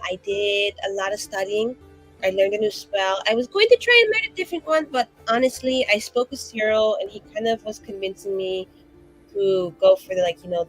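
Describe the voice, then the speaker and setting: A woman's voice: medium pitch, poor audio. A woman playing a tabletop game, speaking in character and rambling with lots of fillers and restarts. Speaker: player.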